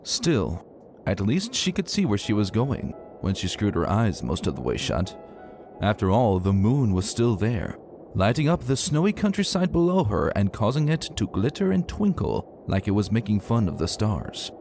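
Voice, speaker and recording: A man narrating a dramatic story in an expressive, emphatic and colloquial way. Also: lowish voice, normal speed. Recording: soft music behind narration.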